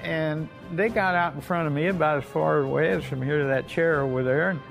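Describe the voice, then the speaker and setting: An older American man, a war veteran, telling his memories informally in a folksy, halting way with repeated phrases. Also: fairly high pitch, fairly even intonation, creaky, over music. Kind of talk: informal oral-history storytelling.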